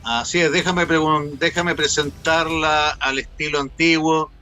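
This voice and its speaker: A man's voice: fairly high pitch, fairly even intonation, measured pace, pushed and bright, soft background music. A man with a Chilean accent hosting a conversational online radio interview in a playful manner. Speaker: host and interviewer.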